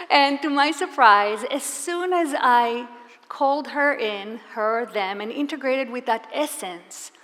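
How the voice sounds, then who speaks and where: Earnest woman presenting in a reverberant hall. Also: high voice. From Israel. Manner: earnest, warm-spoken storyteller with rhetorical questions. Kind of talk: live conference presentation to an audience. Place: large hall before a live audience.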